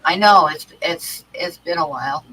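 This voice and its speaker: A woman's voice: sits low, normal speed, sharp tone, husky, rough recording. A woman with a stuffy cold hosting an art livestream, chatting casually with many ums and restarts. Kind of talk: casual chat to live chat.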